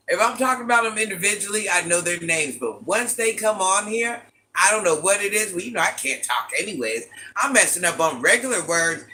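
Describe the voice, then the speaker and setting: Deep-voiced older woman, sassy. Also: sharp tone. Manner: playful trash talk, sassy asides. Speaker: livestream host. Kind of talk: casual livestream chat reading viewer comments.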